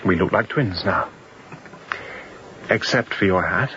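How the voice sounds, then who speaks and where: An actor playing an exhausted, intense, unrepentant fugitive chief mate in a radio drama, speaking in clipped, grim sentences. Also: male voice, low-pitched, a little slow, highs cut off, background noise. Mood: bitter, intense. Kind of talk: radio drama acting, confessional storytelling.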